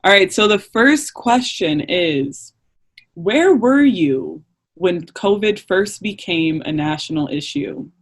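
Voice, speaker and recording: A man's voice: high voice, expressive, slow pace. A young man with a Midwestern American accent hosting a podcast in a casual, joking manner. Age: young adult. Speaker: podcast host.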